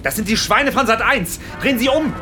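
A man's voice: very high-pitched, fairly quick, over loud music. A man playing a frantic lost friend in a comedic radio play. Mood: urgent.

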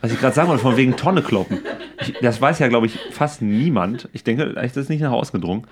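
A man chats casually as a podcast co-host at a very quick pace. His voice sits at an ordinary pitch. He sounds enthusiastic and slangy, dropping English words in as he goes. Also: lively intonation, crowd noise.

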